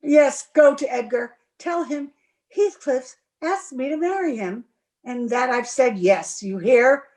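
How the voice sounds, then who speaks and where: Lady doing a proud young gentlewoman in love, highish voice, slow dramatic delivery, old radio play. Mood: defiant, accusing. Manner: theatrical, emphatic. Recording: remote video-call recording.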